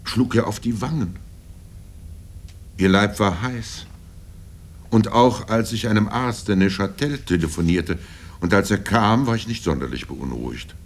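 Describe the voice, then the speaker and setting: An older man reading literary first-person prose in a grave, deliberate manner with dramatic pauses. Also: animated. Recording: low rumble and background noise.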